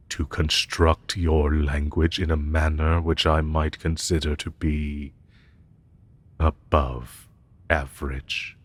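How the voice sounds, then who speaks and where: A low male voice , acted by a man, for an ancient dragon who teaches human students in a fantasy audio roleplay. He is haughty and pompous, choosing archaic, formal words. His delivery is deliberate and full of disdain for lesser creatures. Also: husky, exaggerated voice. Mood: weary disdain. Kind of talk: roleplay audio drama, scripted monologue.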